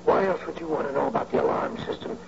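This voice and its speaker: An actor playing a sly, folksy old bank guard who can be bought, in an old radio drama. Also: male voice, a little high, fast talker, gravelly. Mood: smug, menacing. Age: older. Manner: folksy, ungrammatical, drawling authority. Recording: muffled, hissy old radio recording.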